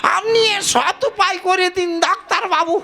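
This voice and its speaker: A woman's voice: extremely high pitch, a little slow, vocal fry, heavily acted. A woman voicing a simple-minded, boozing female patient in a comic puppet show, wailing and exaggerating. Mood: whining, pleading. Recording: loud music behind the voice.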